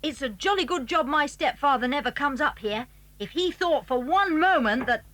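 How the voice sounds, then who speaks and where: A woman's voice: sits high, lively intonation, normal speed, a bit bright. A woman playing a keen, secretive schoolboy in a children's audio drama, telling things in eager, boyish phrases. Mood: wary.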